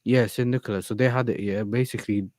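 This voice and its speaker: A man's voice: fairly low pitch, steady tone, quick pace, darkish tone, no echo, clean studio sound. A man who grew up in the Netherlands, telling a story in casual chat and checking in with yeah and innit. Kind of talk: casual chat on a live stream.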